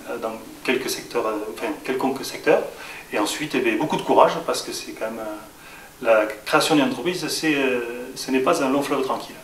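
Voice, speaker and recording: A man's voice: mid-range voice, a bit bright, recorded from a distance. A man from southwest France answering interview questions as a franchise shop owner. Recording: echoey room, off-mic, faint hiss.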